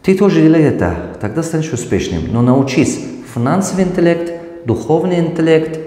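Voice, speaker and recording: A man's voice: fairly high pitch, fairly quick, faint music behind. A middle-aged man with a Tajik accent gives parenting advice as a business mentor, his voice swooping up and down as he acts out talks with his children. He wears a lapel mic in a glass-walled office, and the room adds a roomy echo with a long reverb tail. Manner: animated, gestures broadly, acts out dialogue with his children. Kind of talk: advice talk answering viewer questions.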